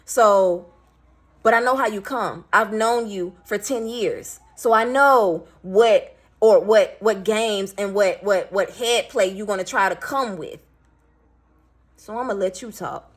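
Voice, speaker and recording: Raspy, blunt woman venting to camera. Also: mid-range voice, slow pace. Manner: blunt, profane, emphatic. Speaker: single parent vlogger.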